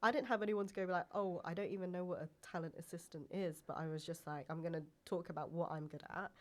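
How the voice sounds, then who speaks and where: A woman's voice: fairly low pitch, fairly even intonation, fast. A young woman from England, chatting casually as a podcast guest and filling her talk with "like" and "um". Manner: chatty, heavy use of "like" and "um".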